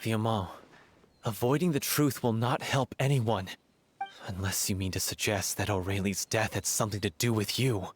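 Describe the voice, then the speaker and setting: A man's voice: lowish voice, moderate pace, slight background noise, lightly acted. An actor voicing an earnest, passionate young theatre man in a video game, formal and intense. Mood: resolute.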